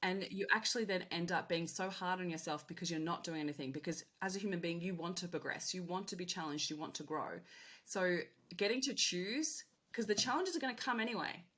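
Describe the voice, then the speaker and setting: A woman's voice: fairly low pitch, a little fast, fairly bright tone. A woman mindset coach, a guest on a podcast, talking energetically and acting out inner voices in casual chat.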